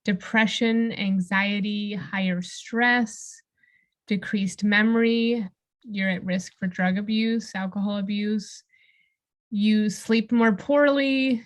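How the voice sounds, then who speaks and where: Female podcast co-host, conversational delivery, medium pitch. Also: fairly even intonation, takes it slowly.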